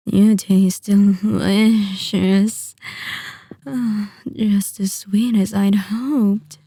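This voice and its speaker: A woman playing a sadistic, possessive vampire mistress in an ASMR roleplay, speaking softly and breathily with lingering, condescending endearments. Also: medium pitch, level tone, drawn-out words, breathy edge, dry recording, exaggerated voice. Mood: gloating, hungry.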